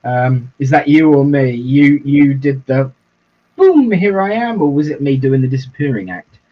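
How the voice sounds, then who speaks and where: A man's voice: mid-range voice, lively intonation. A man co-hosting a livestream as a reverend, chatting casually and chuckling often. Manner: chuckling, easygoing, drawn-out phrasing. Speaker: reverend co-host. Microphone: video call. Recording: dull lo-fi livestream audio.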